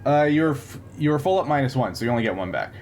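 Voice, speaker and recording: A man's voice: mid-range voice, expressive, fast, darkish tone. Male game master, faintly noisy recording. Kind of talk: explaining tabletop game rules, unscripted.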